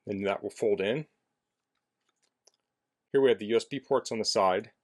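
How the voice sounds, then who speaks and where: Low-voiced man giving a casual product demo. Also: normal speed. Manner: plainspoken, explanatory. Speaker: product reviewer. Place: indoors in a basement.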